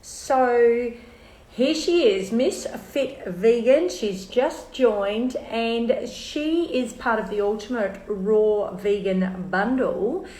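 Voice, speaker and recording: A high-voiced Australian woman hosts a casual live-stream chat, easygoing and full of fillers. Her voice rings around an echoey room. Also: drawn-out words, dark tone. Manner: chatty, filler-heavy, easygoing. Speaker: host.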